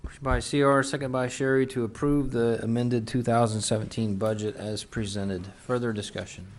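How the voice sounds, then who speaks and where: A man serving as mayor, running a council meeting in a brisk, businesslike way. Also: medium pitch, level tone. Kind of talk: formal meeting procedure.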